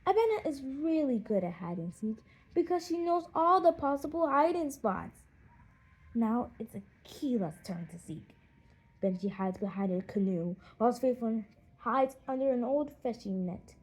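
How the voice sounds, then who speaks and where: A woman reading a children's audiobook in an expressive, animated storytelling manner. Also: fairly high pitch, a little slow, rough recording. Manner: animated storytelling with acted character voices. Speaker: audiobook narrator.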